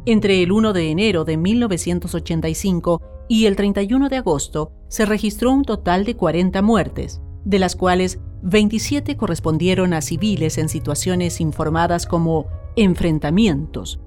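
A woman's voice: lowish voice, normal speed, few pauses, darkish tone. A woman with a Chilean accent reads a scripted radio documentary narration in a solemn, formal manner. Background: music.

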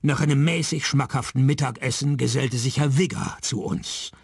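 A man playing a dry, sardonic private detective in a radio play, speaking deadpan. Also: mid-range voice, normal speed, gravelly, slightly rough, no room sound. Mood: deadpan, wry. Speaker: narrator and lead character of a radio play. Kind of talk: radio play noir narration and dialogue.

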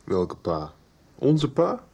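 A man's voice: mid-range voice, wildly swooping pitch, drawn-out words, a little mellow, breathy edge, highs cut off, full character voice. A male actor playing a blunt, teasing young man in a radio soap, talking colloquially. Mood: blunt, dismissive.

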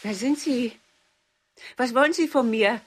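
Shaken confused wife in a radio play, high husky voice, stammers. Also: female voice, unhurried. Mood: frightened, confused. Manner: faltering, stammering. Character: distraught, trembling, confused general's wife. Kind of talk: radio drama acting.

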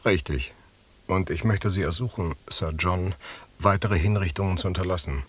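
An actor playing a principled lieutenant in a German radio drama, formal and firm. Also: male voice, sits low, normal speed, fairly bright tone, breathy edge. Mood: firm protest. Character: principled, formal male lieutenant objecting to executions.

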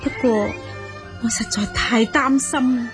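A learned noblewoman of ancient China, played by a woman in a Cantonese audio drama. Her voice is fairly high and emotive, with sighs and humble, courtly phrasing, over clear background music. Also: steady tone, measured pace, close to an everyday voice. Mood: wistful, grieving. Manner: emotive, sighing, formally courteous.

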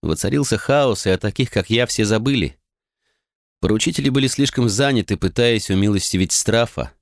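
A man's voice: fairly low pitch, lively intonation, a little fast, dry recording, studio quality. A man voicing a bitter, betrayed young noblewoman in an audiobook, talking in an earnest, rueful way. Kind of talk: audiobook character reading.

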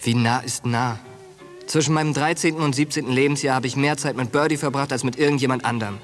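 An actor playing a tough, streetwise young soldier, blunt and slangy, in a German radio drama. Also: male voice, medium pitch, fairly even intonation, quick pace, lightly acted. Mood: frank, casual. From United States, Philadelphia. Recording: studio drama with music bed.

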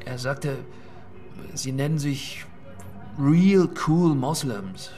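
Nervous, submissive pimp. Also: male voice, mid-range voice, animated, drawn-out words, mellow, soft background music. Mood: wary. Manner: pleading, submissive. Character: nervous, cowed male pimp under interrogation. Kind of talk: crime radio drama acting.

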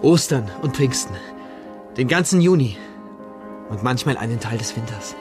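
An actor in a radio drama playing a feverish, melodramatic young bohemian vagabond who speaks with solemn, pleading theatricality. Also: male voice, medium pitch, lively intonation, normal speed, over music, lightly acted.

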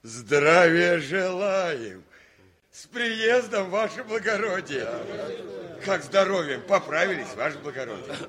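Male actors playing cheerful, loyal soldiers in a radio play, calling out drawn-out military greetings in distant, overlapping voices. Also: sits high, very animated, a crowd in the background, heavily acted. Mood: joyful, eager.

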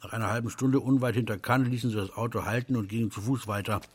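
A man's voice: on the low side, steady tone, quick pace, breathy edge. A man reading scripted literary narration as the narrator of a radio drama.

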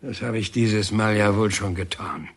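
An actor playing a defiant, bitter, sarcastic painter in a German radio drama. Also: male voice, lowish voice, moderate pace, husky. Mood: indignant, scornful. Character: defiant, bitter male painter, banned by the regime.

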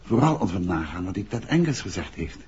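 An actor playing a composed, thoughtful gentleman detective, speaking in a measured, inquisitive way in a 1960s radio drama. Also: sits low, sing-song, slightly rough, exaggerated voice. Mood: pensive. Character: composed, thoughtful gentleman amateur detective, middle-aged husband. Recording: dull, faintly noisy old radio recording.

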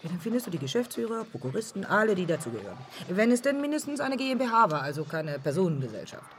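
A woman playing a sharp, teasing young student in a naturalistic radio drama, with a brisk, matter-of-fact way of talking. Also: medium pitch, sing-song, soft background music, lightly acted. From Iran. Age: young adult.

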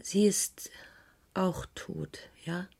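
Grieving woman, radio crime drama, mellow dark tone, breathy, hushed, halting, subdued. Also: fairly low pitch, wildly swooping pitch, full character voice. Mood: distressed. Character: shaken, grieving woman questioning a police inspector. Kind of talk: 1980s German radio crime drama acting.

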